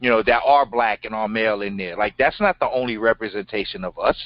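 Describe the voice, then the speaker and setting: Co-host, middle-aged man, deep blunt voice, dry room, dull lo-fi audio. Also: fast, no room sound. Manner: blunt, animated, swears freely. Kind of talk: casual interview chat. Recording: dull lo-fi sound.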